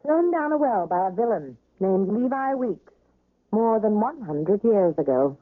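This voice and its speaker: A woman's voice: medium pitch, very animated, unhurried. A woman playing a playful, self-assured ghost in an old radio drama, with a lilting, teasing delivery. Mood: matter-of-fact. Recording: narrow-band old radio broadcast.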